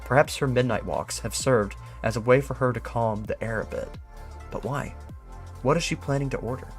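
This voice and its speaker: A man voicing a brooding, suspicious employee, reading an eerie memo in a measured, musing and ominous way. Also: mid-range voice, soft background music, lightly acted. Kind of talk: dramatic reading of a written note.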